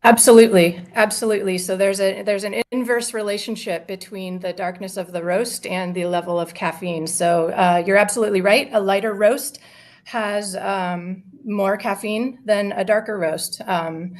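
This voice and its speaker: A woman's voice: mid-range voice, fairly even intonation, normal speed. A woman guest from a coffee company answering questions on a radio show in an upbeat way, with frequent fillers. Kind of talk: interview answers.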